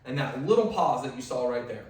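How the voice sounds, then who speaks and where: A man's voice: medium pitch, lively intonation, a little fast. A man working as a dog trainer, explaining techniques in a conversational, instructive way while he trains a dog at home. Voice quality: loud voice. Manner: instructive, conversational, drops to soft commands to the dog. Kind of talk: hands-on training demonstration. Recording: very echoey room, mic at a distance.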